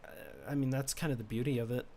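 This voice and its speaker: A young man from the United States streaming a game, musing in a low-key, rambling way and keeping his voice lower than usual. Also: fairly even intonation, fast talker, warm tone, a little hiss or hum. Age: teens. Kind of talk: casual chat while gaming.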